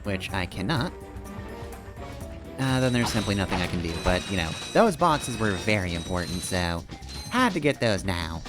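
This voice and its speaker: A man's voice: deep voice, sing-song, normal speed. A man streaming a video game, giving casual, rambling commentary in a wry, playful way as he muses to himself. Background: video game music.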